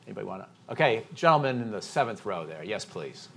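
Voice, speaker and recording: A male moderator who runs the audience Q&A at a panel event in a brisk, directive way. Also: fairly low pitch, sing-song, gravelly, fairly bright tone, a little hiss or hum. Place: conference room.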